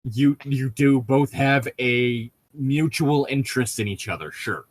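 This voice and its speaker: A man's voice: mid-range voice, unhurried. A young man in casual, joking, profane chat as a co-host reading a book aloud with commentary. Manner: profane, bantering.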